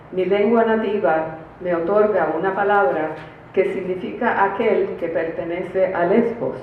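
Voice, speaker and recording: A woman reads a formal academic paper at a conference in a measured, deliberate way. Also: fairly low pitch. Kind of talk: formal academic lecture read from a prepared paper. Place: conference room, recorded from a distance. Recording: distant, very echoey room, phone-like, faint noise.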